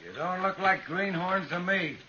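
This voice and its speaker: Suspicious high-voiced stagecoach man played by an actor. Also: moderate pace, natural voice. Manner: wary, challenging. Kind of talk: 1950s radio western acting. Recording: dull, noisy old radio recording.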